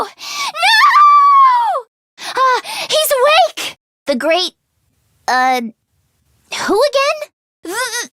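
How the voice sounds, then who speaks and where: A woman voicing an excitable, anxious but determined teenage girl mechanic in a video game, with a youthful voice and expressive, emphatic delivery. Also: very high voice, dry recording, clean studio sound, heavily acted. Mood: panicked screaming, then puzzled, irritated. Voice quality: youthful, girlish. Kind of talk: animated video game character acting.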